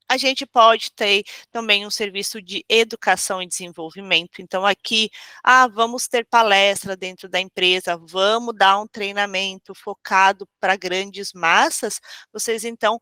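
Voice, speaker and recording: Bright-voiced Brazilian woman presenting a webinar. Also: mid-range voice, steady tone, moderate pace, clear, resonant tone, no room sound. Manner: friendly, informal, explanatory with frequent check-ins. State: has a cold. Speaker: webinar host and software trainer.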